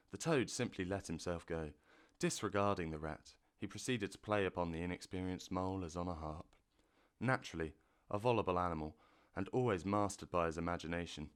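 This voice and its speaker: A man narrating a classic storybook in a measured, expressive reading. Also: low-pitched.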